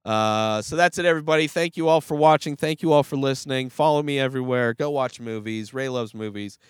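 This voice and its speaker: Man, stuffy nose, nasal, rambling casual movie talk, smooth clear voice, dry close sound. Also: medium pitch, fairly quick, no echo. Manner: rambling, profane, with many fillers and restarts. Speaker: movie review podcast host. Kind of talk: casual movie review podcast.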